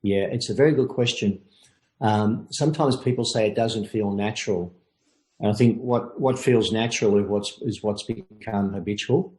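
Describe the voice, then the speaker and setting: Older man, low voice, measured webinar talk, pauses and restarts. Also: darkish tone, poor audio. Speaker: coach giving a webinar. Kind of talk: informal lecture and Q&A.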